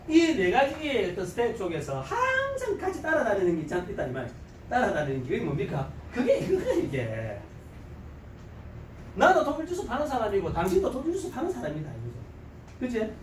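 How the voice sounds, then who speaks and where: A middle-aged man with a thick Gyeongsang accent lectures a seminar crowd, his fairly high voice leaping up and down as he jokes and throws out rhetorical questions. He sounds set back from the mic in an echoing hall, with a faint hum of ambience behind him. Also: distant mic. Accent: Gyeongsang Korean. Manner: animated, joking, quizzes the audience with rhetorical questions. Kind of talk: lively seminar lecture to a live audience.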